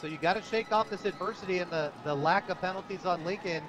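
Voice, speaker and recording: A man's voice: sits high, fast, lo-fi sound. An American man calling a football game live on radio as play-by-play announcer, in short, clipped, vivid phrases. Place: outdoors at a football stadium. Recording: noisy outdoor stadium broadcast.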